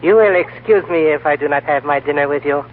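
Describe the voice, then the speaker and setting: Man acting lamasery guide, courteous, formal, cryptic, slightly high voice, 1940s radio play, thin phone-like sound. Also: faint music behind, lightly acted. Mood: calm, gracious. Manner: formal, measured, polite insistence. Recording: tinny, narrow old radio recording, faint noise.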